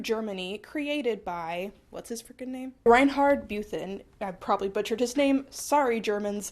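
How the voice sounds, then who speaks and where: A woman's voice: on the high side, darkish tone. Stuffed-up woman rambling about history. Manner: rambling, self-deprecating, wry asides. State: stuffed up from a cold. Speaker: history video host. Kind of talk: casual history explainer. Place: small apartment room.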